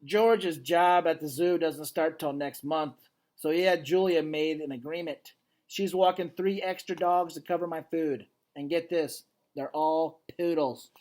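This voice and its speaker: A man's voice: fairly high pitch, fairly even intonation, measured pace, darkish tone, close to an everyday voice. A man voicing a tiny, cocky, wisecracking dog from a children's storybook, with a breezy, wry delivery. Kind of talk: children's novel read aloud, character dialogue.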